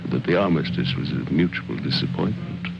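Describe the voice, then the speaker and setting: An actor playing a brooding, world-weary general who muses slowly and morbidly, in an old film drama. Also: male voice, extremely low pitch, animated, vocal fry, exaggerated voice. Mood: somber. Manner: slow, solemn, theatrical musing. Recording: dull old film soundtrack, loud music.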